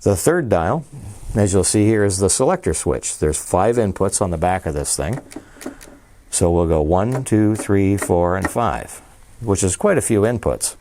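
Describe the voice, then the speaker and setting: A man's voice: deep voice, animated, a little slow, mellow, slight background noise. A man casually showing and explaining a custom-built preamp, walking through its switches and features with enthusiasm. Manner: enthusiastic, explains features while handling gear. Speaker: audio dealer presenting a product. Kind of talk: casual product demo.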